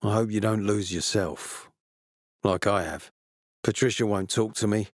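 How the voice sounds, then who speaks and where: Gruff, weary army officer for a video game: low, dry close sound, measured and authoritative. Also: male voice, no echo. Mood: weary, regretful. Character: gruff, weary military officer, commanding, later a regretful father. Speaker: game character voice actor.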